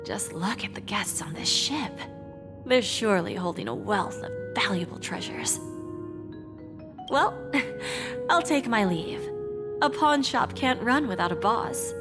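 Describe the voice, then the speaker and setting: A woman voicing a poised, shrewd female pawnshop boss in a video game, deliberate and teasingly courteous. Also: medium pitch, very animated, big-room sound. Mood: sly, indulgent. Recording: background music under the voice.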